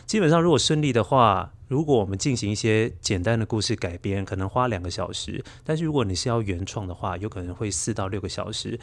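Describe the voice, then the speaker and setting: A middle-aged man with a Taiwanese Mandarin accent explains his work in a measured, reflective way as an interview guest. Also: fairly low pitch, lively intonation. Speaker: podcast guest, children's storyteller and radio DJ. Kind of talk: interview answers, explaining behind-the-scenes work.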